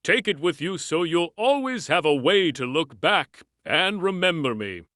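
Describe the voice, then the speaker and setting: A man's voice: on the high side, sing-song, measured pace, sharp tone, dry recording, studio quality, exaggerated voice. An actor playing a big, awkward, kind-hearted male beast in a storybook read-along, with emotive delivery. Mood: tender, wistful.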